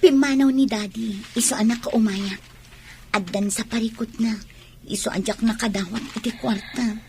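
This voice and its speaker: An actress with an Ilocano Filipino accent playing a self-centred, demanding young college student with a husky voice in a radio drama. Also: female voice, a little high, fairly even intonation, moderate pace, darkish tone, a little hiss or hum, close to an everyday voice.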